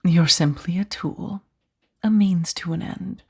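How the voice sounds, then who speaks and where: A woman playing a powerful, condescending mafia boss in a soft-spoken ASMR roleplay, taunting with mock sweetness and a breathy, husky voice that dips toward whispers. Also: lowish voice, slow pace, exaggerated voice. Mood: smug, threatening.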